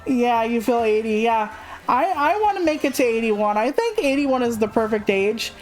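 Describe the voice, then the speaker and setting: A woman's voice: fairly high pitch, lively intonation, normal speed, a little mellow, faint music behind, low-quality recording. A woman livestreamer chatting casually in a candid, rambling, self-deprecating way, swearing freely. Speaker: video game livestreamer.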